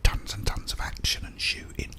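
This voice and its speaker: Male ASMR host, whispered and breathy, casual meandering delivery, unscripted. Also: moderate pace, sharp tone.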